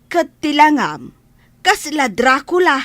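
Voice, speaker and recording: A woman's voice: high voice, big pitch swings, takes it slowly, a bit bright, no room sound, heavily acted. A woman with a Filipino Ilocano accent playing a cheeky, teasing household servant in a comedy radio drama.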